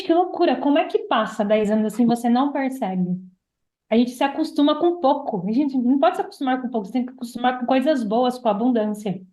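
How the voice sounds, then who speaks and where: A Brazilian woman around thirty with a fairly high voice, recorded in a roomy, echoing space. She tells her own story conversationally, as a podcast guest. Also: warm tone. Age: about 30. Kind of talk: casual personal storytelling.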